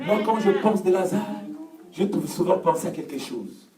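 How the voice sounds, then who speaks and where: A man preaching with call and response in a church hall, fervent and repetitive, often shouting. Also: sits high, animated, normal speed, crowd noise. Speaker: pastor. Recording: echoey hall, distant mic, congregation noise. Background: congregation answering.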